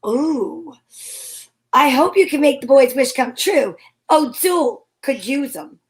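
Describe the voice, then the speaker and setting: A woman's voice: high-pitched, lively intonation, slow pace. A woman playing a sassy, wisecracking young lady detective who narrates in an arch, old-time radio comedy style. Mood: teasing, breezy.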